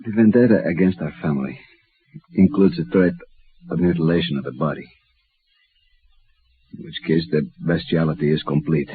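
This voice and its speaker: An actor playing a dignified, dying Mexican don in a 1950s radio drama, speaking gravely and formally. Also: male voice, extremely low pitch, normal speed, pauses often, slight background noise. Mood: stern, weary. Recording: muffled vintage radio recording.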